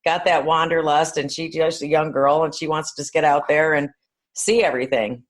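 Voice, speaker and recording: A woman's voice: sits low, fairly even intonation, moderate pace. A woman speaking as a podcast co-host in a casual, conversational style. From northern New York State, United States. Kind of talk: casual chat.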